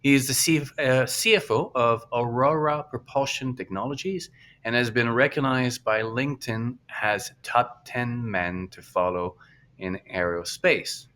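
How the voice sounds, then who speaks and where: A man's voice: mid-range voice, unhurried, a bit bright. A man hosting a casual podcast interview, asking long, rambling questions with restarts. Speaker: podcast host.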